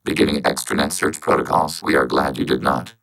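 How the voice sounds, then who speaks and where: A low male robot voice from a video game. It speaks for a machine collective with measured, formal precision. The delivery is calm, logical and emotionless, with a synthetic, processed edge. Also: vocal fry, full character voice. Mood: flat, analytical. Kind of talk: scripted video game character acting.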